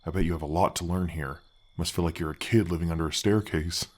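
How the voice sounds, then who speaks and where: A man voicing a friendly, halting, ancient gargoyle guardian in an ASMR fantasy roleplay, with a deep voice effect. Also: fairly even intonation. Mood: warmly welcoming. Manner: halting, friendly, reassuring, chatty. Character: gentle, helpful male gargoyle, ancient temple guardian.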